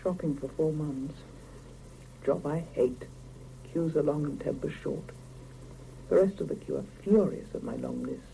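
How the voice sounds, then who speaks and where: A weary woman tells her own day in clipped, wry radio-drama narration. The voice is very dark and creaky, with faint tape noise. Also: low-pitched, a little slow, pauses often. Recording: faintly noisy 1975 radio cassette recording.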